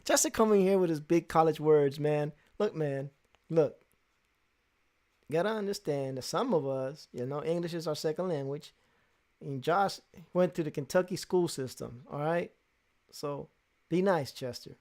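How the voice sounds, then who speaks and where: A man's voice: fairly high pitch, moderate pace, darkish tone. A man hosting a casual live stream, teasing his guests and the chat with frequent laughs. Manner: talks to chat and guests while they draw.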